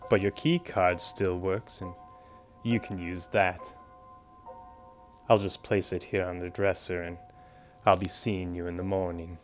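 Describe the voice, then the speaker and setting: An actor playing a small-town bus driver in a radio play, folksy and overly polite with an obliging manner that rings false. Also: male voice, low-pitched, little pitch movement, unhurried, pauses often, soft background music. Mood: falsely pleasant.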